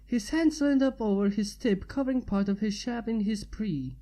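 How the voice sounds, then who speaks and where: A woman's voice: on the high side, moderate pace, mellow. A woman reading a scripted story as its narrator. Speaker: fan fiction narrator.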